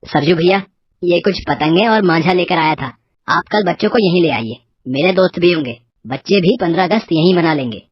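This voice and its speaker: A woman voicing a polite young man, a devoted and grateful son, in an animated moral story. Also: lowish voice, brisk, breathy edge, muffled recording. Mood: grateful, friendly.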